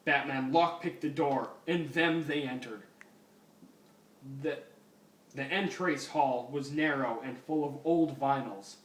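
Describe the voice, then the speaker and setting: A man's voice: medium pitch, slow, fairly bright tone. A man giving a comic dramatic reading of fan fiction, amused and apt to break off to comment. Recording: echoey room, distant mic, faint noise.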